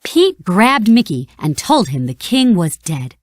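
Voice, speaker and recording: Animated woman reading a storybook. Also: mid-range voice, a little slow, dry recording, clean studio sound. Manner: animated, expressive. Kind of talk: read-along storybook narration.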